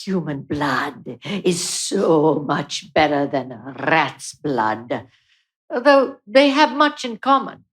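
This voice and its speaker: An actress playing a servile, solemn vampire assistant in a live radio play, deferential and drawn-out in delivery. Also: female voice, sits low, big pitch swings, heavily acted. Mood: solemn warning.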